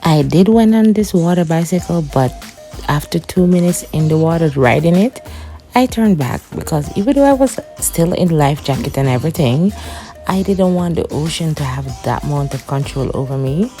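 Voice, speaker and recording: A woman with a low, mellow voice narrates her trip in a voice-over. She tells it plainly and reflectively, with faint music underneath. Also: expressive, normal speed. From Jamaica. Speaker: vlogger.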